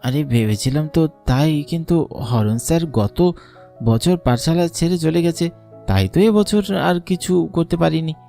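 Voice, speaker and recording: A man's voice: a little high, lively intonation, moderate pace, warm tone. A man voicing a hesitant, deferential village schoolboy in a horror cartoon. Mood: uneasy. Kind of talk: dubbed horror cartoon dialogue. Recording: music under the voice.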